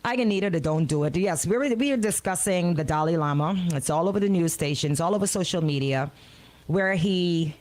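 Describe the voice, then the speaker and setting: A woman's voice: on the low side, moderate pace, darkish tone. A woman radio host giving an opinionated monologue in an indignant, emphatic, colloquial way, repeating words for effect. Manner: colloquial, folksy phrasing.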